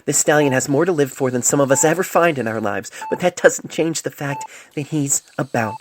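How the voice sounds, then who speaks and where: A man voicing a stern, grieving middle-aged female pony doctor in an audio storybook, grave and measured, on an amateur phone recording. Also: on the high side, voice pushed up, lightly acted. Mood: grieving, resolute. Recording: noisy amateur cell phone mic recording.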